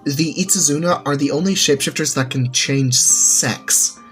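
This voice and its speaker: A man's voice: a little high, normal speed, fairly bright tone, close to an everyday voice. A man voicing an awkward, easily flustered young woman who stammers and hesitates in a narrated story. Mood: awkward explaining. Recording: music under the voice.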